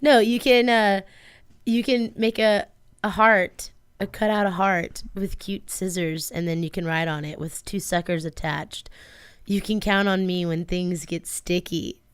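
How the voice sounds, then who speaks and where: A woman co-hosts a casual radio show with a smooth, clear voice at a medium pitch. She is upbeat and gushes over sweet ideas. The sound is dry and close, as on a studio microphone. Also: steady tone, a little slow, dry recording.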